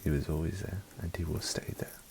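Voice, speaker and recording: A man from South Africa with a mixed British accent, giving an unhurried, soft-spoken ASMR album review in a half-whispered voice with wry asides, close to the mic. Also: rumbling low, steady tone, vocal fry, a little hiss or hum.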